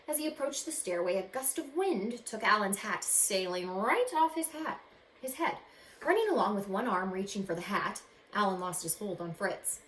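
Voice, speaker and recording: A woman teacher reading a storybook aloud to children in an expressive way. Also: medium pitch. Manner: expressive, addresses young listeners with questions. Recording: echoey room with faint background noise.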